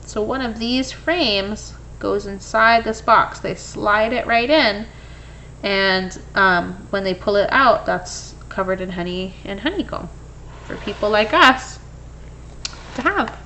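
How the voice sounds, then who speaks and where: A woman reading a children's picture book aloud in an expressive way, with brief explanatory asides for young listeners. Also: mid-range voice, slow pace, slight background noise, low-quality recording. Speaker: storybook reader.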